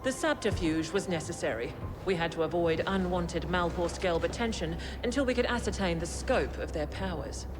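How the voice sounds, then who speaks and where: Cold corporate official for a sci-fi game , played by an actor: formal, measured, condescending, quietly menacing, over music. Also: medium pitch, close to an everyday voice, poor audio. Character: cold corporate official addressing a hired operative. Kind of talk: scripted video game dialogue.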